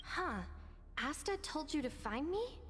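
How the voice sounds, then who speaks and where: High-voiced, reluctant young woman researcher. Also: big pitch swings, a little slow, fairly bright tone, full character voice. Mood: exasperated. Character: bright young female researcher, reluctant, easily exasperated. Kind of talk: scripted game cutscene acting. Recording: long reverb, music under the voice.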